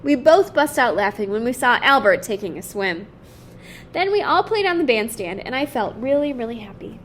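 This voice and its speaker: Woman putting on a chirpy, high-voiced young girl. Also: normal speed, slight background noise, natural voice. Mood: happy. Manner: chatty, candid, lively. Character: cheerful, frank, friendly young girl. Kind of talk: children's picture book read-aloud.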